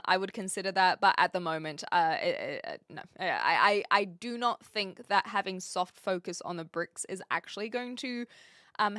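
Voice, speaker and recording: A woman's voice: mid-range voice, fairly bright tone, smooth, no echo, clean studio sound. A woman from New Zealand streaming live and chatting casually with viewers, rambling a little with restarts and fillers.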